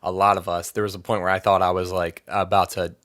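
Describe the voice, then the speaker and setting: A man's voice: low-pitched, level tone, fast, fairly bright tone, no echo, studio quality. A man talking as a podcast guest in easygoing chat, rambling with fillers and restarts. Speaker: band vocalist, podcast guest. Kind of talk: casual interview chat.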